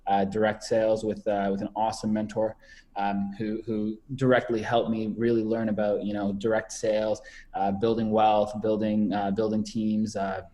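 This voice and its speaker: A man's voice: fairly low pitch, monotone, normal speed, dark tone. A man in his twenties, a podcast guest talking casually over a video call, rambling with many fillers and repeated words. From Canada. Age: 20s.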